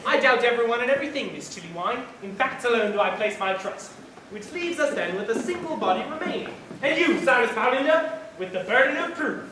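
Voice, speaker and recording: A teenage high school actor playing a pompous, exacting detective inspector in a stage play, speaking in formal, precise pronouncements. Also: expressive, a little fast, lightly acted. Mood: clinical, aloof. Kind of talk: theatrical stage acting. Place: large auditorium stage, indoors. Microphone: far camera in audience. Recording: echoey school auditorium, distant noisy camera.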